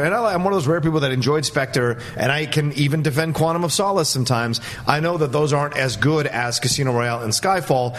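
A man on a discussion panel, giving his opinions in an emphatic, conversational way. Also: mid-range voice. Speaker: panelist on a movie news show. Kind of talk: casual opinion and commentary.

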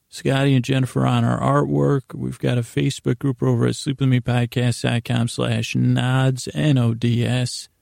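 A man hosting a bedtime podcast in a meandering, halting monologue with a gravelly voice, often interrupting himself. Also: mid-range voice, warm tone, no echo, clean studio sound. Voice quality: gravelly, creaky. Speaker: podcast host. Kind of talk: rambling bedtime monologue to help listeners sleep.

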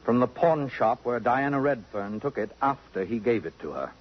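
A suave, sardonic man of society as played by a male actor in a vintage radio drama. His diction is arch, precise and pompous, at an ordinary male pitch but slightly husky. The old broadcast sound is muffled. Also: expressive, moderate pace, natural voice. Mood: insistent, cutting. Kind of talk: 1950s radio drama acting.

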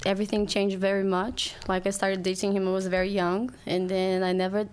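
Clear-voiced Brazilian woman chatting. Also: mid-range voice, level tone, a little mellow. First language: Portuguese. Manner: halting non-native English phrasing. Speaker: podcast guest. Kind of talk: casual chat.

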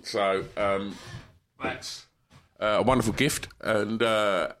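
A man's voice: on the low side, steady tone, takes it slowly, fairly bright tone. A man, chatting on a podcast, a bit congested from a cold, rambling and swearing. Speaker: podcast co-host. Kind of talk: casual chat.

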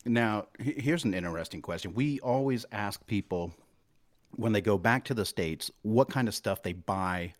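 Middle-aged male host, low voice. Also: moderate pace. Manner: friendly teasing, laughs often. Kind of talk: casual interview chat.

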